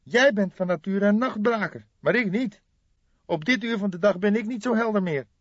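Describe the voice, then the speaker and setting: Man putting on a high-pitched young detective sidekick. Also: normal speed, no room sound. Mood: weary, apologetic. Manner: querying, slightly exasperated. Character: young male police detective, eager, weary, questioning sidekick. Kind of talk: audiobook character dialogue. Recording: dull lo-fi recording.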